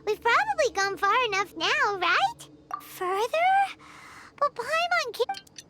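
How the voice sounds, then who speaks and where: A woman voicing a small, excitable, bossy fairy companion in an anime-style video game, with a squeaky childlike voice. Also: takes it slowly, full character voice. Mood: weary, whiny. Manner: speaks of herself in third person, exclamatory. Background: music.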